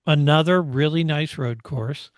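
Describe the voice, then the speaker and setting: Male sports podcast co-host, lighter higher register, relaxed back-and-forth recap, dry recording. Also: measured pace, dry recording. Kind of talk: casual race recap discussion.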